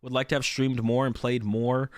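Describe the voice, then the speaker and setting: A man's voice: medium pitch, normal speed, no room sound, clean studio sound. A young man hosting a card game stream, chatting casually to his viewers while sick, his voice worn. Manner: relaxed, rambling, repeats himself.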